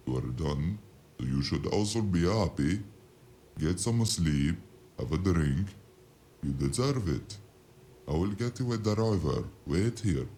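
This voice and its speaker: A man playing a gruff but caring underworld fixer in an audio drama. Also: very deep voice, warm tone, slight background noise, exaggerated voice. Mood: reassuring.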